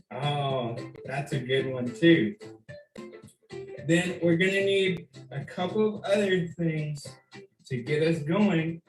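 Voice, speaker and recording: A man hosting for young children, explaining simple steps directly to the viewer with questions and pauses for them to answer. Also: a little high, darkish tone. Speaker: children's story time host. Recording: roomy echo.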